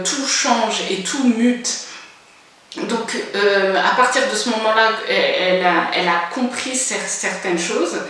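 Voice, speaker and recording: A woman's voice: mid-range voice, a bit bright, very echoey, far from the mic. A woman in her forties talking frankly to camera in her first vlog, hesitant with lots of filler sounds but blunt. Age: 40s. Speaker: vlogger. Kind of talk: casual personal monologue.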